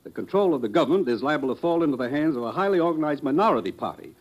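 Commanding spymaster, measured briefing, lively pitch, old radio drama. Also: male voice, dull audio. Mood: grave. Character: authoritative male spy chief. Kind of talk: 1950s radio drama acting.

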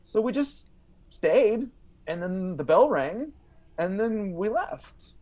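A man with a slightly high, very animated voice tells stories like a comedian guesting on a show. His delivery is halting, with repeated words and plenty of "like" as he builds the tale. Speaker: podcast guest, stand-up comedian. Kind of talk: animated comic storytelling.

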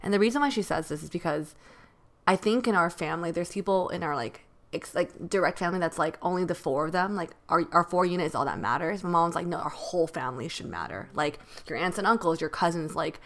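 A woman whose first language was Mandarin, co-hosting a podcast in quick, chatty talk. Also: lowish voice. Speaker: podcast co-host. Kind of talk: casual chat.

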